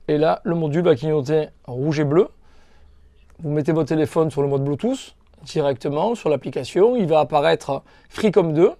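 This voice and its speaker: Male shop presenter for a step-by-step demo, explanatory and informal, marked vocal fry, close dry sound. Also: fairly high pitch, mellow, no room sound. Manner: explanatory, informal, addressing viewers directly. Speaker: shop staff presenting a tutorial. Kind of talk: step-by-step hands-on product tutorial.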